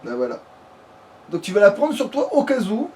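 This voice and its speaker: A man's voice: high voice, wildly swooping pitch, moderate pace, a little mellow. A man giving casual let's play commentary in a crude, slangy way, swearing freely. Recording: clearly noisy home recording.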